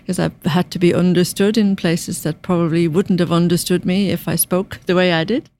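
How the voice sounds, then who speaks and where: Irish woman in her fifties, softened accent, fairly low voice, steady and measured. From Ireland. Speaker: UN finance director guest. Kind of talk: interview answers.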